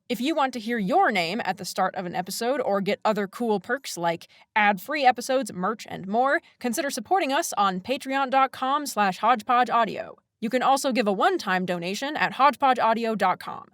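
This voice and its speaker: Woman doing a jokey scripted podcast intro, super lively pitch, dry recording, playful. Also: dry recording. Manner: playful, mock-boastful. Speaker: writer, director and host.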